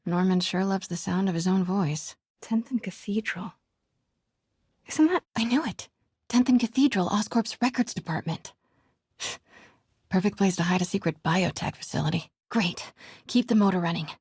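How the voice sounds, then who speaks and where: A woman voicing a curious, caring young reporter in a video game, musing aloud to herself in a natural, soft voice that often drops to a hushed murmur. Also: medium pitch, normal speed, pauses often, husky, no echo, clean studio sound. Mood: determined, wry. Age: young adult.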